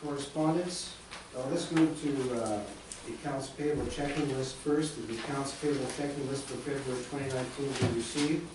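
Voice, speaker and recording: Man chairing a meeting in an echoey chamber. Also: mid-range voice. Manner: brisk procedural, reads motions in a steady cadence. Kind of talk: formal council procedure. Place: council chambers. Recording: echoey chamber, distant mic, background noise.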